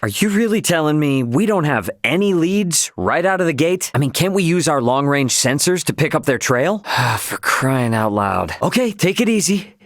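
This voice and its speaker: Casual, self-assured starship captain, dry sound. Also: male voice, fairly high pitch, very animated, normal speed, dry recording. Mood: impatient. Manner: casual, plainspoken delivery with easy slang. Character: young brash male starship captain, casual, plainspoken, sure of himself. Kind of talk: video game voice acting.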